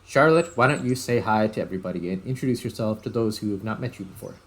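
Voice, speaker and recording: A man's voice: fairly low pitch, fast talker. A man hosting a gaming video with casual, chatty, informal commentary. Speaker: host of a gaming video series. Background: music.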